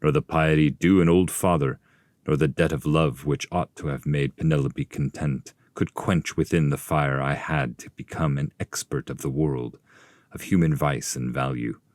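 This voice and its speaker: A man's voice: extremely low pitch, fairly even intonation, normal speed, a little mellow, no room sound, studio quality. A man voicing a proud, restless old seafarer's spirit, telling his tale in grave, declamatory verse. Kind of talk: dramatic audiobook reading of epic verse.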